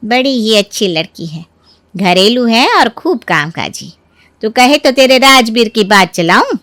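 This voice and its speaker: A woman's voice: on the high side, animated, measured pace, fairly bright tone, no room sound. A woman voicing a chatty, pushy older neighbourhood auntie who makes matches, in acted story dialogue. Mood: coaxing.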